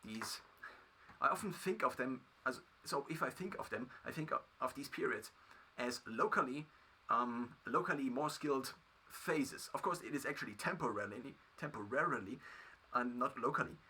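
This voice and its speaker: German guy rambling to camera, kinda sharp tense tone, stops and restarts a lot, mid pitch. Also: lively intonation, breathy edge, rough recording. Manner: halting, many restarts and fillers. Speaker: video creator. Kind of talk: reflective solo monologue.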